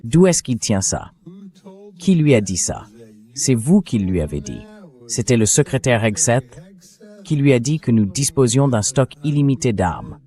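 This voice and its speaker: A man's voice: medium pitch, sing-song, measured pace, warm tone. An AI-voiced man speaking as a talk show host, giving dubbed political commentary. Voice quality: synthetic AI voice.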